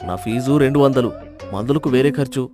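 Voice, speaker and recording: A man voicing a grave, dryly witty village doctor who speaks in a measured, sardonic way. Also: medium pitch, music in the background, close to an everyday voice.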